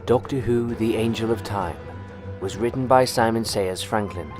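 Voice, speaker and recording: A man's voice: lowish voice, normal speed. A man reading scripted end credits as the announcer of an audio drama. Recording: clear music behind the voice.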